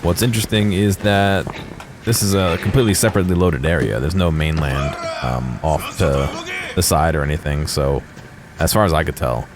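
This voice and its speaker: A man's voice: rumbling low, noisy background. A man giving unscripted, hesitant commentary on game footage. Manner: hesitant, halting. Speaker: video creator.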